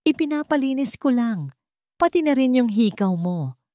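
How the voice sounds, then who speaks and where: A woman with a Filipino accent voicing a smooth, casual, wealthy husband in a story. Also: sits high, big pitch swings, normal speed, mellow, no echo, clean studio sound, pitched above the performer's own voice.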